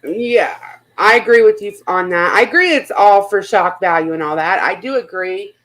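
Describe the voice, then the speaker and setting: A woman with an average-pitched voice hosts a casual livestream. She talks bluntly and informally, says what she thinks and swears freely. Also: normal speed, fairly bright tone, low-quality recording. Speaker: livestream host.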